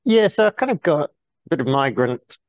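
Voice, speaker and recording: A man's voice: a little high, very animated, normal speed, no room sound. A man, a guest speaking candidly in a casual interview over a video call, rambling a little with restarts. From born in New Zealand, grew up in the UK. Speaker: guest, business board coach. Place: small bright room, indoors. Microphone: earphone mic on a video call. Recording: dull dry video call audio.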